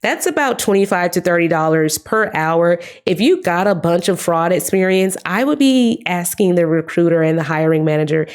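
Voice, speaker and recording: A young woman vlogger giving chatty job advice straight to her viewers, her voice hoarse and giving out from being sick. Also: on the low side, moderate pace, few pauses. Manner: chatty, upbeat, addresses viewers as guys. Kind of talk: casual talk to camera.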